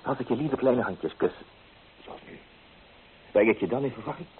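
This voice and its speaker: An actor playing a young, amorous painter, coaxing and theatrical, in an old radio drama. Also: male voice, mid-range voice, expressive, normal speed, close to an everyday voice. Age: young adult. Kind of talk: old radio play acting. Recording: tinny old radio recording, hissy.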